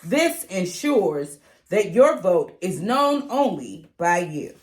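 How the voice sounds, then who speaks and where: A woman reading a rhyming children's picture book aloud in an expressive, sing-song way. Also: fairly low pitch, slow. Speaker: read-aloud reader.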